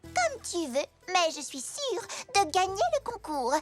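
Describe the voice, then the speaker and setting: A performer voicing a confident, competitive young girl zebra in a children's animated series, childlike and self-assured. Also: very high voice, unhurried, faint music behind, heavily acted. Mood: smug.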